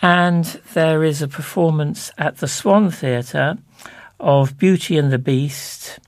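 A man presenting local information, reading out listings and phone numbers in measured, deliberate short phrases. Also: medium pitch, gravelly, a little mellow, no echo.

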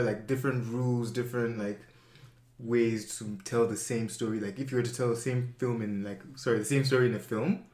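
A man's voice: lowish voice, darkish tone, echoey room. A young man, chatting casually as an interview guest, full of fillers and self-corrections. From Nigeria.

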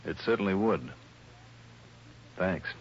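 A man's voice: sits low, lively intonation, slow pace, breathy edge, heavily acted. An actor playing a level-headed male investigator in an old-time radio detective drama, asking clipped, probing questions. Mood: businesslike. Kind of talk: old-time radio drama acting with first-person narration. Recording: dull, noisy old radio recording.

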